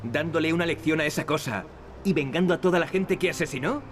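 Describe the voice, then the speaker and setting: An actor with a Castilian Spanish accent playing a cocky, wisecracking young adventurer in a video game. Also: male voice, a little high, fast, a little hiss or hum, natural voice. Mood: teasing, wry. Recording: game music behind the voice. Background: game music.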